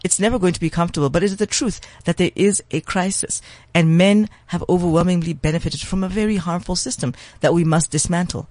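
A man speaks as a talk show guest, making his case with force and emphasis and sometimes rushing ahead in quick bursts. His voice sits rather high for a man, and the recording is close and dry. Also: a little mellow, dry recording. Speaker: guest commentator. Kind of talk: impassioned opinion in a talk show.

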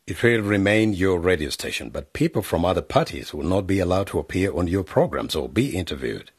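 A condescending, bribe-offering politician in a radio drama. His voice sits very low with a husky grain and a lively, swooping delivery. It is recorded close and dry. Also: fairly quick, no room sound. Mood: persuasive. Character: scheming middle-aged male politician offering bribes. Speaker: member of parliament.

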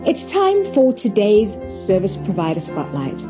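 A woman's voice: mid-range voice, wildly swooping pitch, measured pace, music in the background. A woman with a South African accent, presenting as a podcast host, moving between conversational interviewing and prepared segments.

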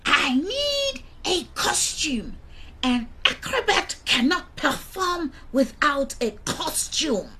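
A woman voicing a brash, headstrong female pig in a children's story, loud and squealing. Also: sits high, very animated, takes it slowly, slight background noise, voice pushed up, full character voice. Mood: demanding.

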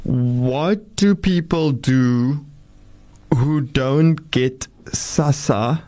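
A man's voice: mid-range voice, extremely slow, mellow. A man hosting a radio call-in interview, talking casually and reading out listener questions. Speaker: radio talk host.

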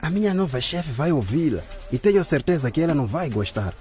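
A flustered police officer in a radio play. His fairly high voice moves around a lot, with faint music behind. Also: very animated, fairly quick, a little mellow. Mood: placating, nervous. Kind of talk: radio drama acting.

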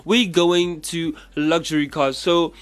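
A man's voice: fairly high pitch, fairly even intonation, slow, clear, resonant tone. A man from South Africa hosting a casual radio chat show as a DJ. He talks in a chatty, rambling way and second-guesses himself mid-sentence. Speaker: radio DJ host.

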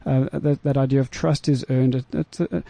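A man hosting a conversational studio interview, haltingly repeating words as he searches for phrasing. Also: medium pitch, little pitch movement, gravelly, dark tone, dry recording. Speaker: radio show host. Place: radio studio.

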